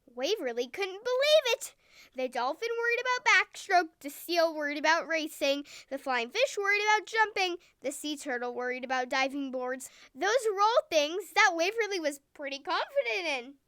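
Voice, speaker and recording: A woman narrating a scripted bedtime story for young children in an expressive, deliberate, clearly worded way. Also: very high voice, no room sound, studio quality. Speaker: storyteller and show host.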